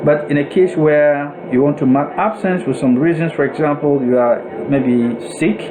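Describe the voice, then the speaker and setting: A man narrating a step-by-step software tutorial in a deliberate, instructional way, repeating and rephrasing himself as he goes. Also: a little high, background noise.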